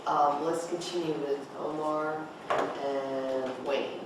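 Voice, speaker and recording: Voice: medium pitch, steady tone, takes it slowly. A director leading a rehearsal informally, guiding the actors with questions and coaching. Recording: echoey room, distant mic, noise.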